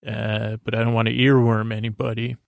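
A man hosting a sleep podcast, talking in a meandering, halting way with many fillers and restarts and a creaky voice. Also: fairly low pitch, little pitch movement, no room sound. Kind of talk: rambling bedtime podcast intro.

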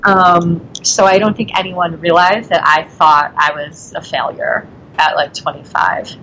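Woman guest in her 40s, fairly low voice, candid and thoughtful, lots of "i mean". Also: steady tone, measured pace, pushed and bright, slight background noise, low-quality recording. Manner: frank, reflective, hedging with "I mean" and "like". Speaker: podcast guest, nonprofit founder. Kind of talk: casual interview chat.

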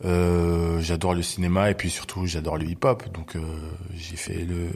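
A man in his forties with a very low voice tells his story as an interview guest. He talks loosely and easily, often stopping on fillers to find his words. He grew up in Belgium. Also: moderate pace. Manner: relaxed, lots of hesitations. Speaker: guest, hip-hop journalist. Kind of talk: casual interview chat.

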